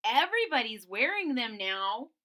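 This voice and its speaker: A woman's voice: fairly high pitch, big pitch swings, normal speed, bright, forceful tone. A woman voicing a worried, wavering young dinosaur in a storybook, tentative and pleading.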